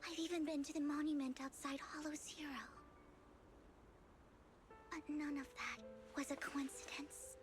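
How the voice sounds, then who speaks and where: A woman voicing a gentle, devoted young woman with a sorrowful past in a video game, speaking in a soft, breathy, delicate way. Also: high-pitched, steady tone, unhurried, pauses often. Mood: earnest, excited. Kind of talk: anime-style video game voice acting. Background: background music.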